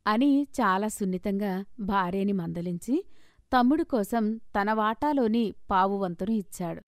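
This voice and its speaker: A woman's voice: medium pitch, expressive, measured pace, dry recording, studio quality. A woman reading a scripted story as its narrator.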